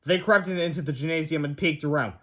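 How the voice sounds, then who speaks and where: High-voiced man reading a children's book. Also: quick pace, a bit bright. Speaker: read-aloud storyteller. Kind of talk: scripted children's book narration.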